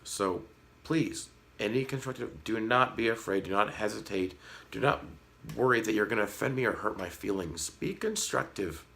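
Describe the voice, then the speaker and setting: A man in his thirties with a slightly hoarse voice, talking frankly and earnestly to his viewers in a casual vlog, with a light sarcastic edge. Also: fairly low pitch, moderate pace, a bit bright. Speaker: fish-keeping vlogger. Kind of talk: casual talk to camera.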